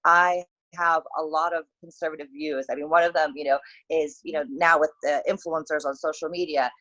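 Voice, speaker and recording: A woman from Saudi Arabia, a guest in a video-call interview, talking in animated bursts with frequent laughs and fillers. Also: low voice, muffled recording. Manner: animated, laughs often, talks in quick bursts with many fillers. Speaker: interview guest, author and consultancy founder.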